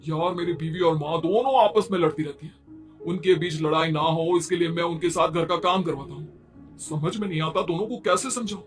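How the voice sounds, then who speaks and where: A man's voice: on the high side, normal speed, soft background music, close to an everyday voice. A man voicing a harried, pleading young husband and son in a Hindi moral-story cartoon. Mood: weary, complaining. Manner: pleading, complaining.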